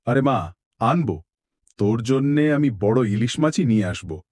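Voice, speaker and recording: A man playing a gentle, indulgent poor village father in a cartoon, soothing and protective. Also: medium pitch, lively intonation, measured pace, no echo, clean studio sound. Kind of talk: cartoon voice acting.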